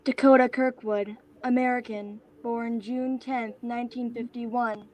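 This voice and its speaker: A woman's voice: a little high, little pitch movement, unhurried, a little mellow, lightly acted. A woman playing an obedient assistant in a comic play reading, reciting formally like an official record. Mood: dutiful reciting. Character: Soren, an obedient assistant reciting an employer's biography. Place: remote virtual reading. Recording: noisy online video call audio.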